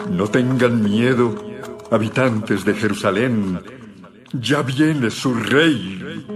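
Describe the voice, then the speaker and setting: Deep-voiced male Bible narrator. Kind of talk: scripted dramatized Bible narration.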